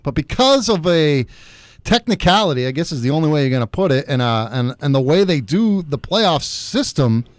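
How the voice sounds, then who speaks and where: A man's voice: fairly high pitch, sing-song, normal speed, dry recording. A man hosting a sports radio show from the studio, chatty and wry with chuckling asides. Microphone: studio microphone.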